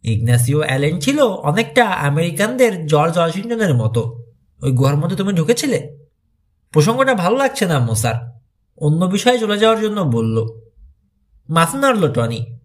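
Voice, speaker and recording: A man narrates a mystery storybook. His voice sits a little high and moves through lively, wide swings of pitch, giving the narration a dramatised feel. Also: moderate pace. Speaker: audiobook narrator.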